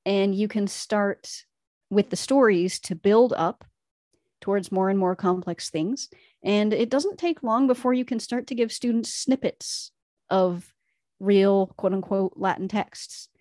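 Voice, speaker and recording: A woman's voice: medium pitch, unhurried, darkish tone, no echo, clean studio sound. A woman co-hosting an educational podcast, earnestly laying out her case point by point. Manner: earnest, explanatory, building arguments point by point. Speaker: podcast co-host, Latin teacher. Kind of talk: enthusiastic educational podcast discussion.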